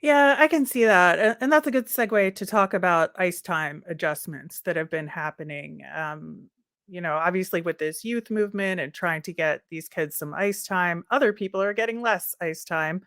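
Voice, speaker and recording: A woman co-hosting a sports podcast, talking through points in a casual, measured, conversational way. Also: fairly low pitch, a bit bright, no room sound, clean studio sound. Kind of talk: casual hockey discussion.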